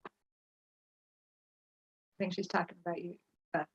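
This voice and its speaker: A woman's voice: on the low side, fairly even intonation, a little slow, breathy edge. A woman actor thoughtfully and tentatively explaining her approach in a casual question-and-answer session on a video call. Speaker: actor in a staged reading. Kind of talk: casual post-show Q&A. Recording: slightly dull online call audio.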